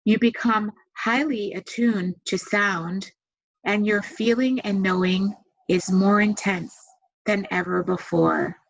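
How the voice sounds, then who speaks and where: Slow-paced woman guiding a meditation. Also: medium pitch, steady tone, gravelly. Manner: soothing, gentle instructions with long pauses. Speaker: meditation guide and massage therapist. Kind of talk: guided meditation. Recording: dull, muffled lo-fi sound.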